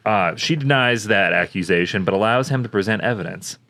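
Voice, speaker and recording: A man's voice: on the low side, a little fast, sharp tone. A man co-hosting a comedy podcast, reading plot summaries and breaking off for crude, joking asides. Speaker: podcast co-host.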